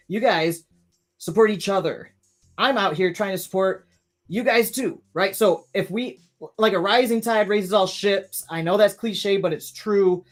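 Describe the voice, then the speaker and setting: A man in his thirties hosting a live stream, chatting casually and rambling with many restarts while reading viewer comments. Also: high-pitched. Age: 30s.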